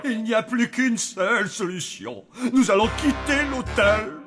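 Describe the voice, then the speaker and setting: A man voicing an excitable, fussy zombie father who runs a hotel, in a theatrical and proper manner for a French cartoon. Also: high voice, a little slow, over loud music. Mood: alarmed, decisive. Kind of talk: French animated cartoon dubbing.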